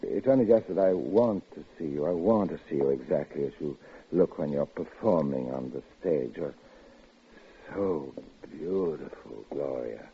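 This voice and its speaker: An actor in a 1950s radio drama playing an obsessive, lonely man who threatens a woman with a knife, his soft crooning flaring into shrill outbursts. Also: lively intonation, unhurried, slightly rough, heavily acted. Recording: tinny old radio broadcast with faint hiss.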